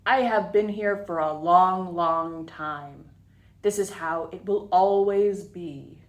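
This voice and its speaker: A woman voicing a huge old grandfatherly rock in a children's storybook, deep, slow and solemn, stolid in temper. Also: muffled recording.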